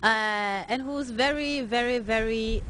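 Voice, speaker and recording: A woman's voice: fairly high pitch, slow, fairly bright tone. A nineteen-year-old woman from Norway, a young fashion designer answering interview questions earnestly with many hesitant filler sounds. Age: late teens.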